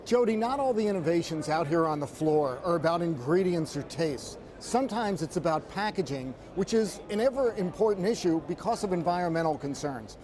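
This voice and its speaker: A man's voice: high voice, darkish tone. A man reporting from a trade show floor, conversational and upbeat, turning to address the anchor by name as he walks through products. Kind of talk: walk-and-talk product report.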